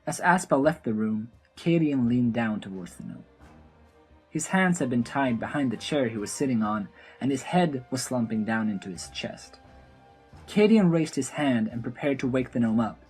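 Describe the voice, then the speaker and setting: Casting: female storyteller, very deep and husky, lively intonation, scripted fantasy narration with faint music behind. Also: moderate pace, poor audio.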